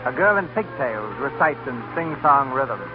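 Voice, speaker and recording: A man's voice: mid-range voice, animated, normal speed. A man narrating a 1930s radio drama in a solemn, formal style. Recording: muffled 1930s radio broadcast. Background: music.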